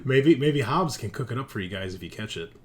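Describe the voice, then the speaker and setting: A man running a tabletop roleplaying game as game master, narrating casually and haltingly as he thinks aloud. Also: medium pitch, animated, crowd noise.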